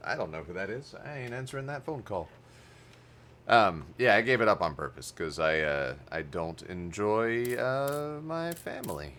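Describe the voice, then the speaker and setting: Chatty man streaming a game, lively pitch. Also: normal speed, a little hiss or hum. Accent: Canadian-sounding North American. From Texas. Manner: chatty, reads game text aloud, thinks out loud. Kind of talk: casual gameplay commentary. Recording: loud game music behind the voice.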